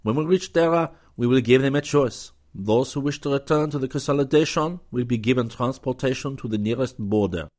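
A man's voice: mid-range voice, brisk, few pauses, a little mellow, voice pushed up, lightly acted. Brief: male narrator plays a sly, courtly French officer; ornate manners, dry irony. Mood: courtly, ironic. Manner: flowery, courtly and ironic. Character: gracious, sly French male starship commander.

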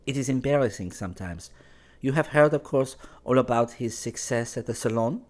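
A man's voice: medium pitch, moderate pace, pitched above the performer's own voice, lightly acted. A man voicing a teasing young Parisian woman, sly and merry. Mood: airy. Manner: lightly mocking, airy.

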